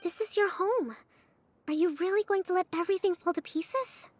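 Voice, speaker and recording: A woman's voice: extremely high pitch, normal speed, no room sound. A woman voicing an earnest, commanding small female general in a video game, formal and persuasive. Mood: urgent.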